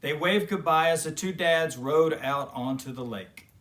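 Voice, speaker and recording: A man reading a children's story aloud as expressive narration. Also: a little high, measured pace. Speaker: children's story reader and host.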